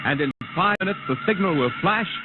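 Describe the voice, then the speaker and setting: Loud music swells behind a male announcer on a tinny old radio recording. He narrates in a 1950s dramatic style, portentous and deliberate, with lively, sweeping intonation. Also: high-pitched.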